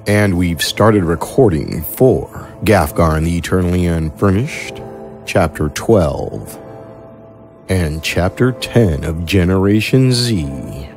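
Deep, slow-talking man hosting a podcast. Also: expressive, gravelly, a little mellow. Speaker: host and producer. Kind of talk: podcast credits and announcements. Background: faint music.

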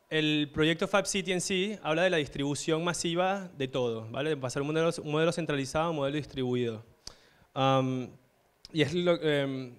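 A man's voice: on the high side, smooth. A man in his thirties from Venezuela with a Venezuelan Spanish accent, giving a conference talk on stage into a handheld mic, hesitant, with many fillers and tag questions. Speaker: conference speaker. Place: stage at an indoor event hall.

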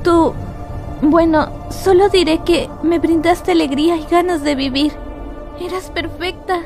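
A woman voicing a brooding young female sheep, cold and halting, in a dramatic horror comic dub. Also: extremely high pitch, fairly even intonation, gravelly, over music, exaggerated voice. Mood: wistful, conflicted.

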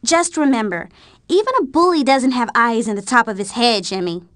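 A woman voicing a bossy, sassy young schoolgirl in an animated video game, with a nagging, exclamatory delivery. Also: high voice, no echo, heavily acted.

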